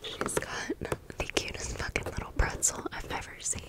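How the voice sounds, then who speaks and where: A woman doing casual, chatty ASMR storytelling in a soft, breathy, unvoiced whisper. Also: normal speed. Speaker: ASMR video creator.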